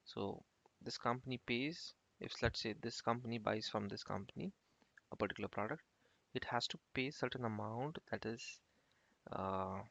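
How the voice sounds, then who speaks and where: A man giving an informal software tutorial as an instructor, explaining step by step in a halting, repetitive way. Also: medium pitch, creaky, no echo. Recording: muffled lo-fi screen-recording voice-over.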